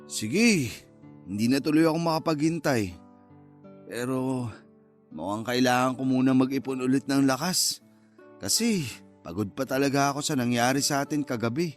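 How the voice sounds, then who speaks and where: A man's voice: medium pitch, normal speed, long pauses, lightly acted. An actor plays a young man in a radio romance drama. He is a cocky, teasing lover, speaking in a conversational way. Faint guitar music plays behind him. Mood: teasing, drowsy. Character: young male lover, teasing, cocky, sleepy after passion. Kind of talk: Tagalog radio drama acting.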